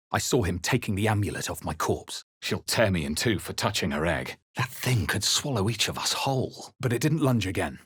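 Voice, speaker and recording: A man playing a brooding, wary fantasy adventurer in a video game, with a husky voice and hushed, deliberate, dramatic delivery. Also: low voice, dry recording, clean studio sound, full character voice. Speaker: video game player-character voice actor. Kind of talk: dramatic scripted game narration and reaction lines.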